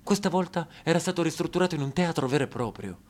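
A man's voice: fairly high pitch, expressive, fast. A man narrating a theatrical monologue on stage in a wry, storytelling manner with rhythmic lists. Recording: live theatre broadcast.

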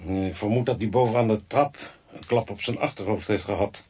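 An actor playing a grumbling, sardonic doctor in a radio drama, with a husky voice and a dry manner. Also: male voice, lowish voice, fairly quick, darkish tone. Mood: dry, businesslike. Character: grumbling sardonic male doctor examining bodies.

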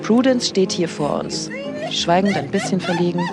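Radio play reporter: solemn, sentimental on-location delivery in a slightly low female voice, music underneath. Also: expressive, moderate pace. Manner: pathos-laden, solemn, coaxing in asides. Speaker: media presenter. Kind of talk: radio drama acting as solemn on-camera report.

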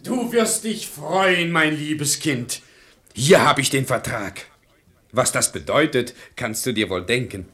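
Casting: pig-headed middle-aged patriarch, forceful bright tone, big swings in pitch, imperious verse comedy delivery. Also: male voice, animated, slow pace. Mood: self-satisfied. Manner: emphatic, imperious, dismissive. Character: stubborn, gullible, domineering middle-aged father and husband. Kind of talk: classic verse comedy radio play acting.

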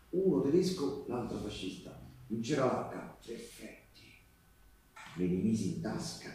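Echoey far-off stage sound, man acting earnest male Resistance partisan, dark relaxed tone, conversational monologue, halting, repetitive, confiding. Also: lowish voice, natural voice. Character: earnest male Resistance partisan postal worker recounting wartime sabotage. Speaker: stage actor. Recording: echoey live theatre, distant microphone.

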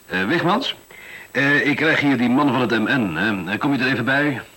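A man's voice: mid-range voice, expressive, normal speed, noisy background, lightly acted. A man acting in a Dutch radio play as a middle-aged school director, defensive and officious, hesitating and then lecturing. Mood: brisk, officious. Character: defensive, self-important male school director pitching efficiency.

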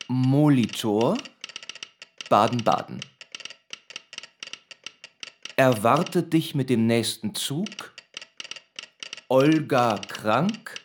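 A man acting in a German radio play as a self-assured, ironic gentleman, deliberate and theatrical in his delivery. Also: medium pitch, a little hiss or hum. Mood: formal recital.

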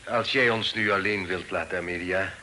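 An actor playing a secretive, authoritative middle-aged father in hiding in a period radio drama, speaking formally and deliberately. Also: low-pitched, lightly acted. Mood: measured, courteous. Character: secretive, authoritative fugitive father, stern and shrewd. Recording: noisy old radio drama recording.

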